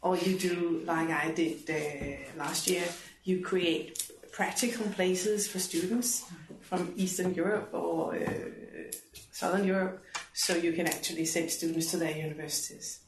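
A middle-aged woman speaks up in a seminar discussion. Her voice sits fairly low and comes from a distance in an echoey classroom. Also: fairly even intonation, a little slow. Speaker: university staff member in discussion. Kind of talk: spoken comments in a seminar discussion. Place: classroom, indoors. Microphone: distant room microphone. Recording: distant, echoey room with clear noise.

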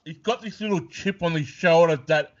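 An Australian man hosting a podcast, asking questions in a relaxed, friendly way with frequent restarts. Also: on the high side, moderate pace. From New South Wales, Australia. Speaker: podcast host. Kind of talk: casual video call interview. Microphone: video call. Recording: dull lo-fi call audio.

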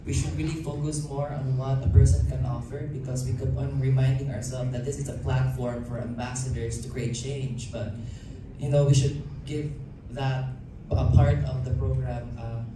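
A young man answers the press in fluent, speech-like phrases. His pitch barely moves. The sound is far off and very echoey, with steady hall noise underneath. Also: normal speed. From Philippines. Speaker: pageant titleholder. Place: event hall stage. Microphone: handheld mic, far camera.

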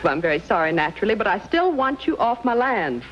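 Young female landowner actress, proud, curt, quick-tempered, swooping pitch, slightly noisy vintage TV western. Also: big pitch swings, natural voice. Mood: cold, imperious. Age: young adult. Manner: curt, imperious. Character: haughty, hot-tempered young woman landowner. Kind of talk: 1950s television western acting. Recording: dull old film soundtrack, faint noise.

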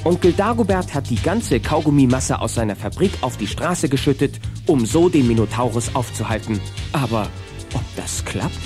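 A man's voice: mid-range voice, animated, moderate pace, music in the background, lightly acted. A man narrating a children's radio play in a dramatic storytelling manner.

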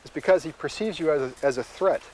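A man's voice: on the high side, fast talker, a little mellow, slight background noise, poor audio. A middle-aged man working as a wilderness guide, explaining things in a matter-of-fact, instructive way while hiking outdoors. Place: outdoors in open coastal tundra, on the move.

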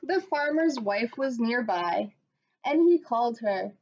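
Slow, gentle woman reading a storybook. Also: fairly high pitch, a little hiss or hum. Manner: slow, expressive, gentle read-aloud voice. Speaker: teacher reading aloud. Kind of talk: storybook read-aloud on webcam. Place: small room at home. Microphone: webcam at desk. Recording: dull lo-fi webcam audio.

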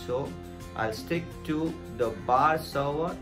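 A man's voice: fairly high pitch, slow. A man, explaining things to viewers in an emphatic, repetitive, direct way. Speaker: video host giving career advice. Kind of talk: explainer vlog to camera. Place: small plain room indoors. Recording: music behind the voice.

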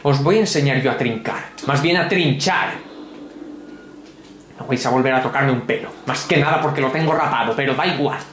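A man's voice: mid-range voice, normal speed, a bit bright, reverberant, a little hiss or hum. A man, giving sardonic, grumbling, mock-pompous gameplay commentary from a home room. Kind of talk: sarcastic ranting game commentary.

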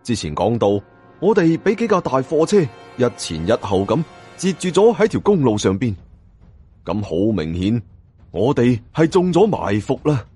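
A man's voice: medium pitch, very animated, moderate pace, a little mellow, husky, faint music behind. A man with a Hong Kong Cantonese accent narrating a suspense novel as a dramatic audiobook storyteller.

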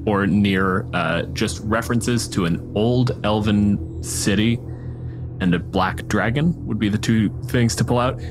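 Male game master, low voice with lively intonation, conversational improvised storytelling, clear music bed underneath. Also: a little slow. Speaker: game master and podcast host. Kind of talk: improvised tabletop role-play narration, conversational.

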